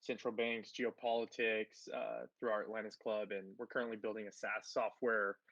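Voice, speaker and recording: A man's voice: fairly low pitch, level tone, moderate pace, creaky, a bit bright, no echo, highs cut off. A man raised in Texas and born in Spain, a guest talking casually on a livestream, rambling with many fillers and restarts. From born in Spain, grew up in Texas. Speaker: guest, tech entrepreneur.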